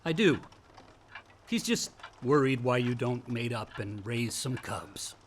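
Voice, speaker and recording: An actor playing a volatile, wounded college-age son in a radio play, with a sardonic, defensive American delivery. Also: male voice, mid-range voice, animated, slow, slight background noise. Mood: subdued. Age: young adult. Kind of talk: naturalistic radio play acting.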